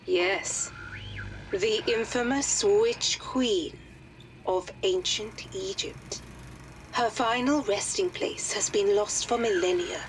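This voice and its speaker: An actress playing a composed, authoritative commander, giving a formal, measured briefing in a video game. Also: female voice, mid-range voice, slight background noise, close to an everyday voice, poor audio. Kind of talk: scripted game cutscene briefing.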